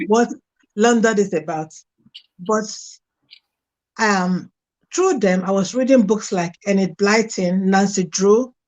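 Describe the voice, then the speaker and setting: A woman's voice: mid-range voice, a little mellow. A middle-aged woman from Nigeria with a Nigerian accent, a guest frankly telling her life story in an interview. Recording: dull lo-fi sound.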